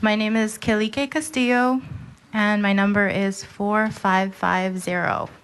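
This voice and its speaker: A woman in her thirties, originally from Hawaii, giving testimony at a public hearing. Her delivery is steady and earnest, like someone reading a prepared statement. Her voice sits at a middle pitch for a woman. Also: measured pace, slight background noise. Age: 30s.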